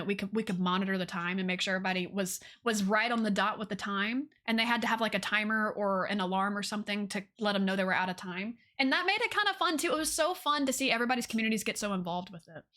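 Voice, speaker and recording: A woman's voice: medium pitch, expressive, quick pace, sharp tone. A woman hosting a game livestream in casual chat, gushing enthusiastically, with informal y'alls and repeated phrases.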